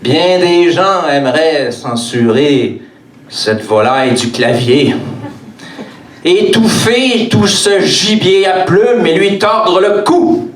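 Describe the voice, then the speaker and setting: Slow-paced Quebec man performing slam in echoey room. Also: high-pitched, animated. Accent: Quebec French. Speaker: slam poet. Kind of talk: live spoken-word poetry performance. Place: indoor bar stage. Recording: echoey room, distant mic, faint noise.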